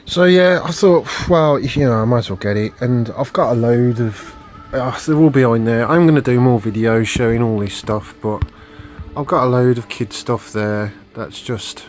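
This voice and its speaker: A man's voice: normal speed, a little mellow, faint music behind. A man, rambling through a casual haul video with many fillers and restarts, recorded on a phone at home. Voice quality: low voice. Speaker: video collector vlogger. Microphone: phone camera.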